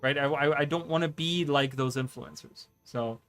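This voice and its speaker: A man's voice: mid-range voice, normal speed, fairly bright tone, faint music behind. A man whose first language is German, chatting casually on a live stream, rambling with stammered restarts and a habit of asking "right?". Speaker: streamer and content creator.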